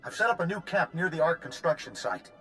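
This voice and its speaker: A man voicing an urgent leader organizing an evacuation in a sci-fi game, with a brisk, commanding manner. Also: fairly high pitch, big pitch swings, pushed and bright, soft background music. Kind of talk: scripted game dialogue.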